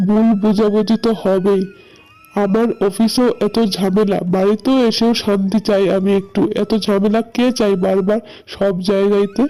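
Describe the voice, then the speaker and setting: Cartoon peacemaker son, voiced by a woman: soft mellow tone, placating, patient, gently reasoning. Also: mid-range voice, lightly acted, poor audio. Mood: pleading, reasoning. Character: peace-making adult son, patient and pleading. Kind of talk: cartoon voice acting.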